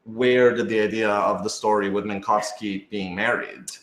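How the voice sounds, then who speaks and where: A low-pitched man talks in a roomy, echoing space. He speaks as a writer on a casual Q&A panel, rambling and working out his thoughts aloud as he goes. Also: fairly even intonation, moderate pace, a bit bright. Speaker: writer and producer of an audio drama. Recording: roomy, echoey room sound.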